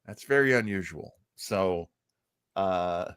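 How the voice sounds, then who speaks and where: A man's voice: sits low, expressive, slow, a bit bright, clean tone, no room sound, studio quality. A man co-hosting a radio show, chatting casually and hesitantly, with playful self-deprecation as he introduces old-time radio.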